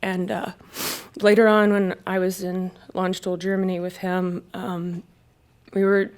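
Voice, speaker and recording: A woman, a military spouse, giving personal testimony at a public discussion in a halting way, with frequent hesitations and self-corrections. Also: lowish voice, level tone, a little mellow, clean tone. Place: live event space at a panel discussion.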